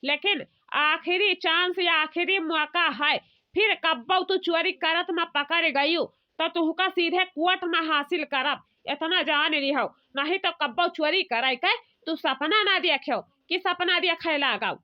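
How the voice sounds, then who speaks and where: A woman's voice: very high-pitched, measured pace, muffled recording. A woman voicing a bossy, aggrieved village matriarch who scolds at length in an Awadhi accent, in a comedy cartoon. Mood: stern. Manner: indignant, long-winded scolding.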